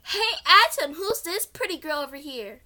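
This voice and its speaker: Woman playing a high, chirpy mischievous girl. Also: lively intonation, moderate pace, pushed and bright. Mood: curious. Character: bubbly, friendly, mischievous young girl apprentice.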